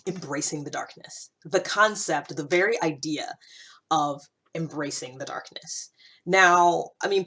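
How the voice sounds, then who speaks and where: Low-voiced woman in reflective, emphatic monologue. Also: a bit bright. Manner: conversational and emphatic, repeats phrases for emphasis. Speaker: host of a personal development podcast.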